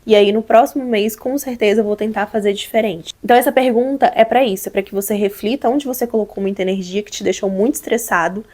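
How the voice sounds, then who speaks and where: A young Brazilian woman with a hoarse, slightly nasal voice from a cold, casually explaining goal-setting tips to her viewers in a chatty way. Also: mid-range voice, fast, few pauses, darkish tone. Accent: Brazilian Portuguese. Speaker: vlogger. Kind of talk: casual advice vlog.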